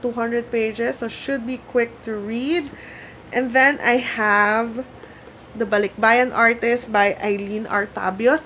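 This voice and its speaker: A young woman from the Philippines, a Tagalog speaker talking casually to camera at home by her bookshelves, chatty and excitable. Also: a little high, a little hiss or hum. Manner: chatty, excitable, self-mocking. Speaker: book vlogger.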